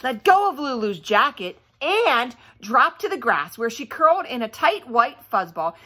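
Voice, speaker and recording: A woman's voice: sits high, very animated, a little slow, bright, forceful tone. A woman reading a children's story aloud with expression, breaking off for chatty asides and questions to her young listeners. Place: indoors at home by a fireplace.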